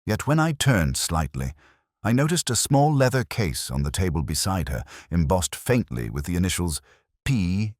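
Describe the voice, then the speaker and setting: Very deep-voiced man narrating a detective story. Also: very animated, darkish tone, no echo, clean studio sound. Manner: measured, literary, reflective delivery. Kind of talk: scripted first-person detective story narration.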